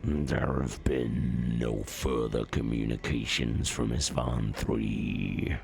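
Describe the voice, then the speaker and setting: A man voicing a grim, cold male warlord giving a slow, ominous report. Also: rumbling low, steady tone, pitched below the performer's own voice, full character voice. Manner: slow, gravelly, ominous delivery.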